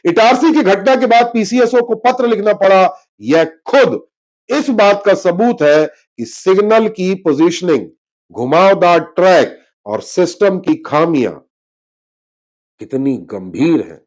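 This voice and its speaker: A man's voice: high-pitched, lively intonation, takes it slowly, darkish tone, poor audio. A man presenting a scripted investigative news report in a dramatic, emphatic manner. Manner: dramatic, emphatic, with rhetorical questions.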